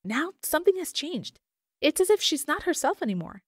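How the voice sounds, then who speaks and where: Woman as a high-pitched, earnest schoolgirl. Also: sing-song, fairly quick, no room sound, clean studio sound. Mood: puzzled, concerned. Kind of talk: audio drama acting.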